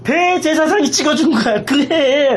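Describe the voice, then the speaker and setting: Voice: high-pitched, measured pace. A pastor preaching an impassioned sermon, emphatically repeating phrases and putting rhetorical questions in a raised voice.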